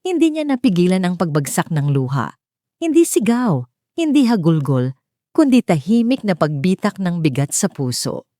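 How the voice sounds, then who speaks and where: Low-pitched woman narrating drama, lively intonation. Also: moderate pace, dry recording, studio quality. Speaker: storyteller, narrator. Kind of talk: dramatic scripted story narration.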